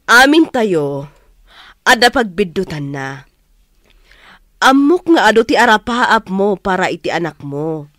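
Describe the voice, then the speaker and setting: An actress playing a calm, wise middle-aged aunt who advises gently but firmly in a Filipino radio drama. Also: female voice, medium pitch, a little slow, pauses often, no echo, studio quality. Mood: firm, pleading.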